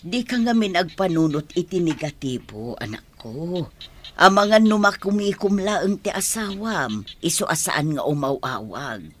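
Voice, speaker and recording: A woman's voice: fairly low pitch, lively intonation, a little slow. A woman with an Ilocano Filipino accent playing a caring, worried older mother and grandmother in a radio drama, coaxing and soothing. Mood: gentle reassurance.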